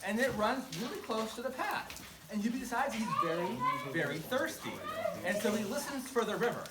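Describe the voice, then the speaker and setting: A woman's voice: on the high side, expressive, moderate pace. A woman telling a story live to a group in a casual, playful, self-deprecating way, prompting her listeners to join in. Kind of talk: interactive live storytelling for a group with audience participation. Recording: echoey, far from mic, noisy crowd. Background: crowd of listeners calling out.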